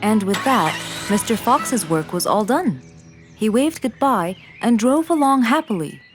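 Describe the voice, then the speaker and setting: A woman's voice: a little high, lively intonation, a little slow. A woman narrating a scripted children's story, with music under her voice.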